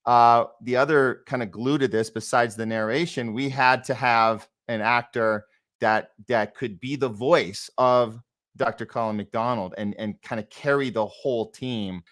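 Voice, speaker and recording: A man's voice: medium pitch, steady tone, unhurried, fairly bright tone. A man hosting a casual interview as a director and actor, talking emphatically and building on what others say.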